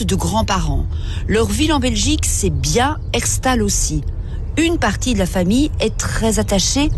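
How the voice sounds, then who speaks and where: A woman journalist narrating a documentary in measured, literary phrases and asking questions from a car. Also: medium pitch, darkish tone, slight background noise. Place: inside a moving car.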